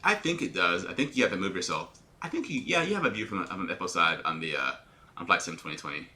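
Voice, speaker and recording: Man with a sharp, forceful radio-style voice. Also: sits low, big pitch swings, quick pace. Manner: talks in a put-on radio-announcer voice; explains checklists step by step and answers viewer questions. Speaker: airline pilot. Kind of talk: live-streamed flight sim commentary.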